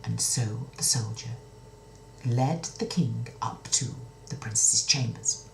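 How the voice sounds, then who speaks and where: A woman's voice: rumbling low, slow pace, vocal fry, roomy sound, a little hiss or hum, rough recording. A woman telling a children's story in a dramatic, theatrical way, repeating words for suspense.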